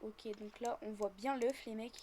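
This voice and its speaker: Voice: mid-range voice, fairly even intonation, moderate pace, warm tone. A female speaker, casually improvising a roleplay while gaming and chattily narrating each action. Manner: chatty, narrates own actions as they happen. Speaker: host of gaming roleplay videos.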